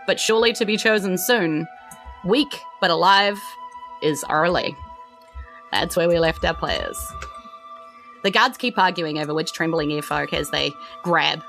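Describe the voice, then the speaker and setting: Bright-voiced New woman narrating. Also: lowish voice, expressive, measured pace, faint music behind, low-quality recording. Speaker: game master and host of a tabletop RPG podcast. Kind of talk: narrating a tabletop game, part recap, part casual chat.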